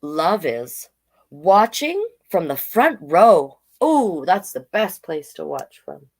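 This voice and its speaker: A woman hosting a children's storytime online, reading deliberately and adding casual, chatty asides. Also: on the low side, big pitch swings, darkish tone. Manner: slow, deliberate read-aloud delivery with chatty asides. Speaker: drag king storytime host.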